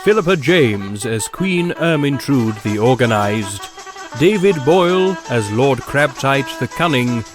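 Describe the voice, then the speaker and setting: A man reading closing credits as an announcer in a measured, unhurried way. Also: mid-range voice, expressive, over music.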